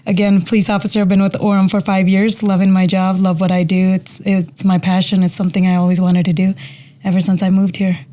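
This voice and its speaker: A woman's voice: mid-range voice, flat delivery, fairly quick, dark tone. A young adult woman from India with an Indian accent, a police officer guest telling her life story earnestly in an interview. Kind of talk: personal interview.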